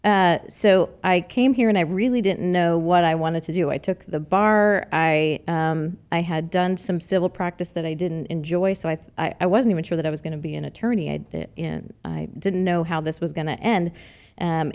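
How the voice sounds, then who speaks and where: A woman's voice: on the low side, a little mellow, clear, resonant tone. A middle-aged woman with a slight Texas accent, a political candidate talking earnestly in a casual podcast conversation, with many fillers and restarts. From New Mexico, raised in Arizona.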